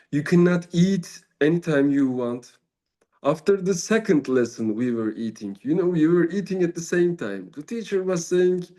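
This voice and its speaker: Turkish-accented man, mellow tone, halting unscripted teaching talk, non-native English. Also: on the high side. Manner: halting, searching for English words, drops Turkish fillers. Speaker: Sufi mentor and speaker. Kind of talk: informal spiritual talk.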